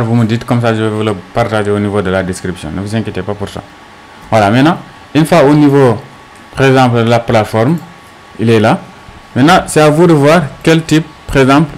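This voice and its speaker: Halting man giving a tutorial. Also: mid-range voice, a little mellow, low-quality recording. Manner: halting, asks himself questions and answers them. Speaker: tech tutorial instructor. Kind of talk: unscripted screencast tutorial, step-by-step explanation.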